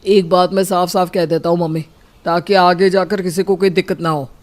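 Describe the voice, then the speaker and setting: A man's voice: high voice, flat delivery, brisk, close to an everyday voice. A man playing a sulky, stubborn younger brother in a cartoon family drama. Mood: indignant.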